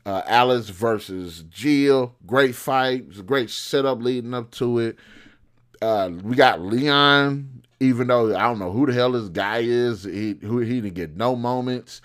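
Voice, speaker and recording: A man's voice: medium pitch, measured pace. An American man giving casual, opinionated movie commentary, colloquial and thinking aloud as he corrects himself. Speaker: host of a film commentary show.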